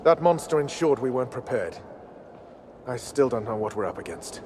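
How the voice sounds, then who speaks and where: An actor playing a stern, earnest templar knight in a video game, grave and commanding. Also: male voice, medium pitch, expressive, moderate pace, a little mellow, slight background noise, natural voice. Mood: grim, troubled.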